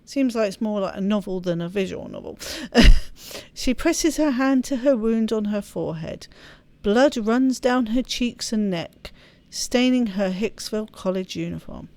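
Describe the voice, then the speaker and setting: A woman's voice: mid-range voice, animated, unhurried, darkish tone, no echo. A woman hosting a gaming video, chatting casually and reading story text aloud with expression. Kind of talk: let's play chat and expressive read-aloud narration.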